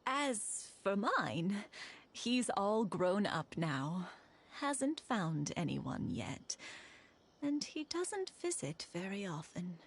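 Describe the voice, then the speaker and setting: Slow-spoken, kindly old village woman. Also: medium pitch, very animated, breathy edge, exaggerated voice. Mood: weary, wistful. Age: older. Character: kindly elderly village woman, weary, doting. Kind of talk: video game voice acting. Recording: music behind the voice.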